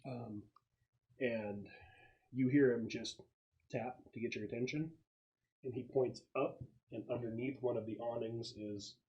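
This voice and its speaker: A man working as game master, improvising narration in a halting, casual and sometimes profane way. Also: medium pitch, steady tone, dark tone, dull audio.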